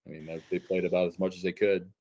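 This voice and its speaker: Low-voiced man on a dull recording. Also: level tone, fast, warm tone. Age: 30s. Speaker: podcast guest, stadium announcer. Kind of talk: casual sports chat. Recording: dull lo-fi audio.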